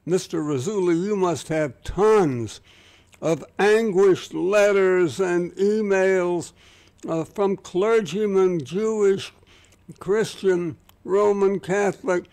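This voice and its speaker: A man's voice: high voice, a little mellow. A man hosting a radio interview, chatty and wry in his questions. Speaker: radio talk show host.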